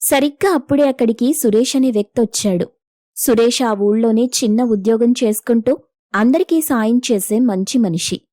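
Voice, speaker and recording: మెత్తని, వెచ్చని గొంతుతో కథ చెప్పే స్త్రీ. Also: female voice, fairly high pitch, normal speed, mellow, no room sound, clean studio sound. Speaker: story narrator. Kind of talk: scripted moral story narration.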